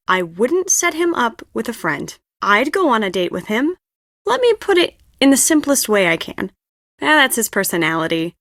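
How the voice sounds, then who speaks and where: A woman voicing a stressed, dramatic young stage director in an adventure game, expressive and confiding. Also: on the high side, no echo, clean studio sound. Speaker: video game voice actor. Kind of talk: adventure game dialogue acting.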